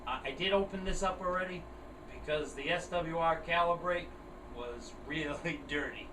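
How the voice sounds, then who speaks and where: A man's voice: fairly high pitch, moderate pace. A man chatting plainly and casually, as in a rambling hobby show-and-tell video. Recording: roomy, distant mic, clear air-conditioner noise. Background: air conditioner running.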